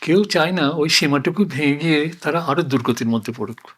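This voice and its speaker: Older man, slightly high-pitched voice. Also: fairly quick, breathy edge. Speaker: interview guest. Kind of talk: reflective oral-history conversation.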